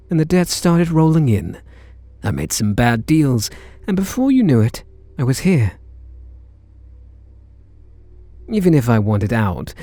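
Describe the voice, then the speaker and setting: A British man playing a charming, teasing kidnapper who is softening toward his captive, in an intimate ASMR roleplay. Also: medium pitch, no room sound. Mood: resigned, confiding. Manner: teasing, flirtatious, murmuring pet names.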